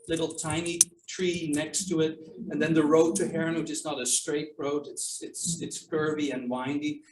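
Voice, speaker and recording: A man who is not a native English speaker, an author and archivist answering audience questions at a book launch, thoughtful and hesitant with frequent ums and restarts. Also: on the high side. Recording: echoey room, mic from far off, faint noise.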